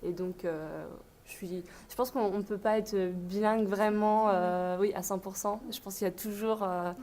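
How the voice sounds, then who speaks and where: A woman's voice: medium pitch, fairly even intonation, moderate pace, darkish tone. A woman student from France reflecting on her languages in an interview, thoughtful and full of fillers. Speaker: student guest.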